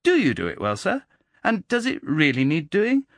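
A man's voice: a little high, wildly swooping pitch, moderate pace, dry recording, studio quality, pitched above the performer's own voice. Man doing a precise, precocious boy. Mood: innocently needling. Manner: innocent, probing, precise.

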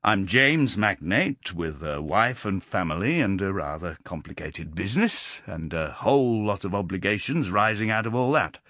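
A man's voice: sits low, expressive, moderate pace, hardly any pauses, sharp tone, dry recording, clean studio sound, full character voice. A man voicing a middle-aged businessman, blustering and trying not to sound condescending.

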